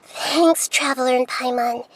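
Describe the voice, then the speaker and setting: A woman voicing a child-sized goddess in a video game, gentle and wise, with a soft girlish voice and measured, reflective delivery. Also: sits high, a little hiss or hum, poor audio.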